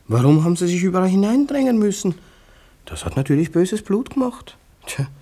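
An actor playing a pompous, sanctimonious busybody in a radio play, unctuous and declamatory. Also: male voice, high-pitched, mellow, husky, full character voice. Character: pompous, sanctimonious petty-bourgeois eavesdropper, meddling apologist. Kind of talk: German radio play acting.

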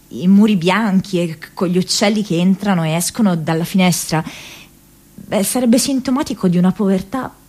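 An actress playing an earnest, haunted young American woman activist in an intimate radio drama monologue, speaking haltingly with hesitant pauses and repeated words. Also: lowish voice, dark tone, slightly rough. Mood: pensive, hesitant. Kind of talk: intimate radio drama monologue, diary read as spoken memories.

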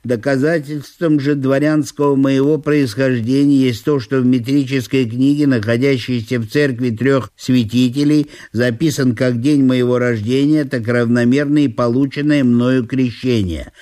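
A man's voice: mid-range voice, steady tone, moderate pace, hardly any pauses, dark tone, natural voice. A man playing a court secretary who recites a pompous petition in a formal, officious way in a theatrical audiobook.

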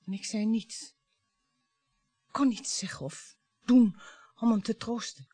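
An actress in a Dutch radio play playing a bitter, grieving mother who confronts her husband in a dramatic, halting, taunting way. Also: fairly high pitch, mellow, slightly rough, no room sound. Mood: anguished, halting.

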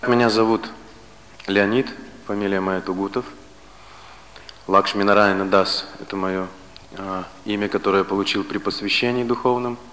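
A man in his late thirties giving a philosophy lecture, speaking in a measured way with pauses and restarts. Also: fairly low pitch, fairly even intonation, a bit bright, in a large hall, slight background noise. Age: 30s.

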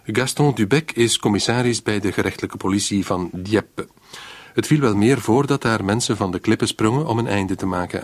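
A man giving a scripted introduction as a radio drama presenter, measured and formal. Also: fairly low pitch, dry recording.

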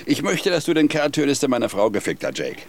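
A gravelly scheming husband. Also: male voice, mid-range voice, very animated, brisk, slight background noise. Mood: insistent. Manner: gruff, sly, chuckling menace. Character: scheming older husband ordering a hit. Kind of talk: radio drama acting.

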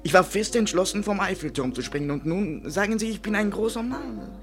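An actor playing a lovesick, self-pitying French waiter with theatrical, mournful delivery in a 1950s German radio play. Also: male voice, high voice, animated, brisk, creaky, faint music behind. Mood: bewildered, resigned. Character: lovesick, melodramatic French waiter and hobby painter, a man.

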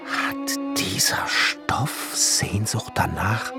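Obsessive, gravelly alchemist glassmaker, slow. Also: male voice, on the low side, wildly swooping pitch, exaggerated voice. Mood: brooding. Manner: musing, declamatory, drops into hushed whispers. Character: Johann Kunkel, obsessive visionary glassmaker and alchemist, solemn. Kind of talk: radio play inner monologue, literary German. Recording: studio radio play with music underneath.

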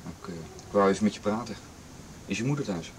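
A man's voice: lowish voice, expressive, brisk, a little hiss or hum, natural voice. A man playing a concerned, insistent young friend in a radio play. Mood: coaxing. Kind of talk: Dutch radio play acting.

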